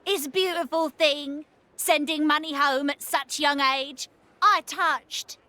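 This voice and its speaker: A woman's voice: high-pitched, steady tone, takes it slowly, bright, forceful tone, no echo, exaggerated voice. A woman voicing a small merchant creature who talks in broken, cutesy pidgin. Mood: chirpy. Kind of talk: video game voice acting.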